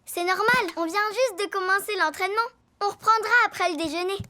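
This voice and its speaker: A woman's voice: very high voice, fast talker, bright, forceful tone. A woman voicing a confident young elephant in a children's cartoon, chirpy and encouraging. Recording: music behind the voice.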